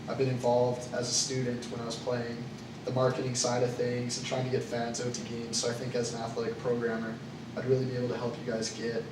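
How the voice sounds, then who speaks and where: Young male student as nervous job applicant, monotone and halting, recorded from across a noisy room. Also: medium pitch. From Canada. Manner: hesitant, with frequent uh and um fillers. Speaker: job candidate in a mock interview. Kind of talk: student role-play job interview. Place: classroom. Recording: echoey room, far from the mic, noisy.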